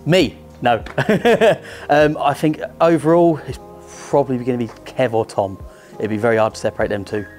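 A man answering interview questions as an angler. He talks in a brisk, chatty way on a lapel mic indoors. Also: mid-range voice, a little mellow, faint music behind. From Lincolnshire, England. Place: indoor room.

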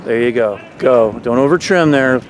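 A man's voice: mid-range voice, moderate pace. Man coaching sailing from a windy boat. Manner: calls out commands to sailors between explanations. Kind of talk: live on-the-water coaching commentary. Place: outdoors on a motorboat on a lake. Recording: wind noise on camera mic.

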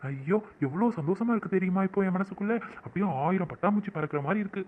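A man playing a caring, excitable young husband who fusses over his wife, in a home-made skit with a tinny phone-like sound. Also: sits high, fast. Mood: excited. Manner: fussing, reassuring.

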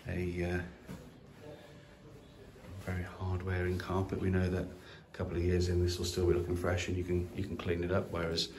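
A man's voice: sits low, monotone, moderate pace, darkish tone, a little hiss or hum. A man giving a casual walkthrough of an empty house, talking with many fillers and restarts into a handheld phone. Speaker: property investor. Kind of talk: walkthrough video. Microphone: phone at arm's length.

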